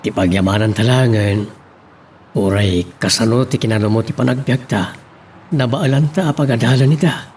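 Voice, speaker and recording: A man playing a steady, caring middle-aged village father in a radio drama, with a Filipino accent. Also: mid-range voice, moderate pace, close to an everyday voice. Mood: reflective. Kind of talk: Ilocano radio drama acting. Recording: faint background noise.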